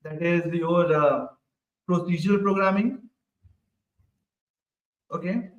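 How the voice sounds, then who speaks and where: A high-pitched man runs a live online coding class. He keeps asking the class questions and tags "right?" onto his sentences. His voice sits a little away from the mic and rings in an echoey room. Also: steady tone, slow, recorded from a distance, muffled recording. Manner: repeats questions to the class, ends lines with "right?". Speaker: programming instructor.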